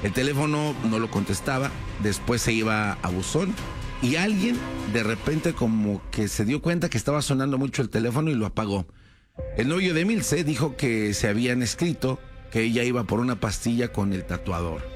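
A man's voice: mid-range voice, expressive, normal speed, music in the background. Man narrating true crime casually. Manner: conversational, frequent "pues" fillers and tag questions.

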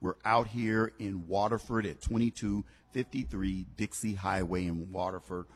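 A man's voice: sits low, fairly even intonation, normal speed, slightly rough. A man hosting a live radio talk show, encouraging callers in a preachy, faith-filled way. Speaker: radio host.

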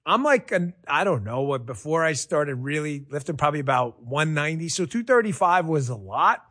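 A man's voice: on the high side, lively intonation, moderate pace, a bit bright. A man giving a casual, chatty endorsement, telling it like a story.

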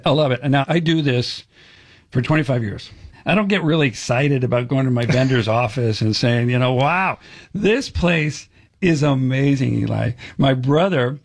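A man's voice: medium pitch, a little fast. A middle-aged man hosting a radio show, chatting in a jokey, effusive way.

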